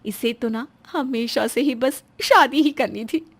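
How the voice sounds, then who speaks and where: A woman's voice: high voice, takes it slowly, heavily acted. A woman voicing an innocently tactless, cheeky young daughter-in-law in a cartoon moral story, chatty and outspoken. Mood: cheeky, matter-of-fact.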